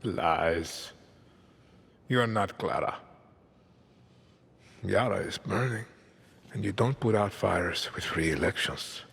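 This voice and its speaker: An actor playing a ruthless aging island dictator, with a low, cold, commanding voice that often drops to a hush. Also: male voice, creaky, a little hiss or hum, full character voice. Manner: cold, measured, often hushed.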